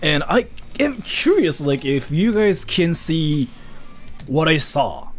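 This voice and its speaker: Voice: mid-range voice, sing-song, takes it slowly. A native Japanese speaker with a Japanese accent, chatting casually with livestream viewers as the host in a self-deprecating, apologetic way.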